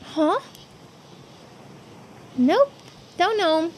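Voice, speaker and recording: A woman's voice: very high voice, sing-song, extremely slow, slight background noise, pitched above the performer's own voice, full character voice. A woman voicing a jumpy, defiant townswoman in a mystery game, snappy and suspicious. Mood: curt, dismissive.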